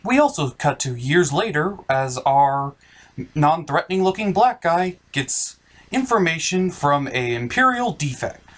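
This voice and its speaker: A comedy host narrates a scripted movie recap in a theatrical manner. The delivery is exaggerated, with pitch that swings widely from phrase to phrase. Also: very animated, a little slow.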